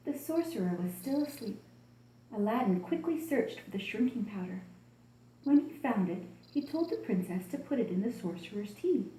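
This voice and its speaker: Female children's storyteller, soft warm tone, expressive deliberate reading, echoey room sound. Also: medium pitch, a little hiss or hum. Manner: expressive, deliberate storytelling addressed to young listeners.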